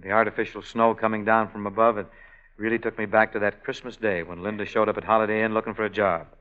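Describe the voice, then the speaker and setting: A man's voice: lowish voice, flat delivery, fast talker, highs cut off, lightly acted. An actor playing a lovesick innkeeper who tells his story wryly and easily, in 1940s radio acting on an old broadcast. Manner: wry, easygoing storytelling. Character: lovesick, wry male innkeeper and singer.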